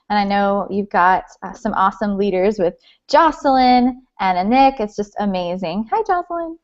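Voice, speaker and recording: Woman, late twenties, guest speaker, friendly, chatty, mid-pitched, muffled video call audio. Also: darkish tone. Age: 20s. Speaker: guest speaker, direct sales leader. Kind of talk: informal training talk.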